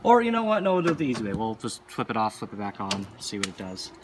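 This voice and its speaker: A man's voice: on the low side, big pitch swings, quick pace, background noise. A man giving casual, unscripted hands-on commentary, rambling a little as he thinks aloud while presenting as a hobbyist. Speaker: arcade machine hobbyist presenter.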